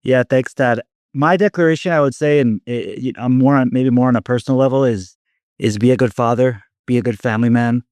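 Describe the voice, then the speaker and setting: A man's voice: mid-range voice, fairly quick, darkish tone, dry recording. A man from Michigan being interviewed as a company co-founder, talking about his values and his upbringing in an upbeat way. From Michigan, United States. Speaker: guest, firm co-founder. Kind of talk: interview answers.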